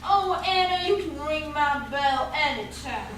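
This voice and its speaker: Bright, slow-talking flirty classmate, acted by a woman. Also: high voice, expressive. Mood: teasing. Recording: very echoey room, distant mic, faint noise.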